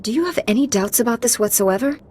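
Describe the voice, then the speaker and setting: A woman's voice: lively intonation, fast talker, darkish tone, faint music behind, close to an everyday voice. A woman playing a composed, resolute female knight in a science fiction video game. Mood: calm, questioning. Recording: clear background noise, low rumble.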